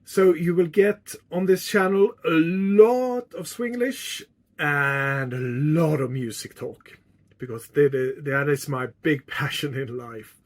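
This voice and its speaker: A man's voice: on the high side, slow. A middle-aged man from Sweden with a Swedish accent, chatting casually to viewers as a music vlogger. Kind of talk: casual chat to viewers, reminiscing.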